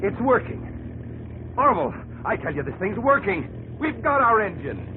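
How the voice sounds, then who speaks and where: A man's voice: high voice, very animated, normal speed. An American actor playing a wry, droll male inventor and brother in 1950s radio drama style. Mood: excited. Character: Wilbur Wright, wry, dryly humorous older brother and inventor. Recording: muffled, noisy old radio broadcast recording.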